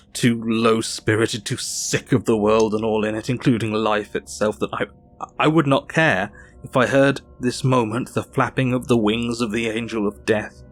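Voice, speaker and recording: An actor playing a melancholy Victorian doctor who narrates his diary in an audio drama, sombre, measured and weary. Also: fairly low pitch, lightly acted. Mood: despairing, weary.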